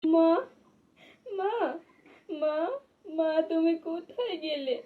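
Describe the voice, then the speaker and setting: Woman as a very high-voiced little girl. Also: slow pace. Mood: frightened, tearful. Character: little girl, tender-hearted sister in cartoon. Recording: dull lo-fi voice track.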